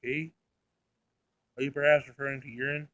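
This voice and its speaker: A man's voice: medium pitch, little pitch movement, normal speed, fairly bright tone, no echo, lightly acted. Visual novel android, read by a man: female machine, literal-minded, formal and emotionless in delivery. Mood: matter-of-fact. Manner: pedantic, clinical, formal wording.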